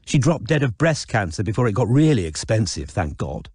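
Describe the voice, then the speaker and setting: A man's voice: lowish voice, very animated, a little fast, darkish tone, slightly rough, no room sound, clean studio sound. A male narrator voicing a chatty, upper-class young woman in an audiobook. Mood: gossipy.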